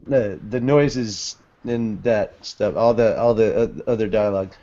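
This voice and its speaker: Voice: mid-range voice, unhurried, mellow, muffled recording. A podcast host bantering casually and comically during an amateur manga dub, with a playful, theatrical way of talking. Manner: playful, theatrical, often breaks into silly voices.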